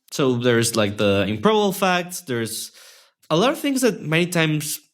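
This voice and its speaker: A young man whose first language is Spanish talks as a guest in a relaxed interview. He stays friendly and thoughtful, often restarting his sentences as he works through an idea. His pitch sits right in the middle for a man. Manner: friendly and reflective, with many restarts and fillers. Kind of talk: casual interview conversation.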